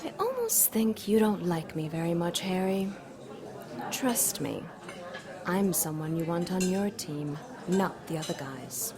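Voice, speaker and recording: A woman's voice: fairly low pitch, big pitch swings, measured pace. A woman voicing a seductive, imperious demon queen in an audio drama, coy, mocking and theatrical. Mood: teasing, coy. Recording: crowd chatter behind the voice. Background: crowd chatter.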